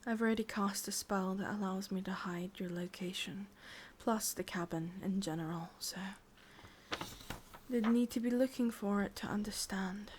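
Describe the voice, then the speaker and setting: A woman with an English accent playing an ancient, lovelorn witch in an ASMR roleplay, speaking intimately in soft, breathy whispers with musing pauses. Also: medium pitch, slight background noise, lightly acted. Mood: musing, guarded.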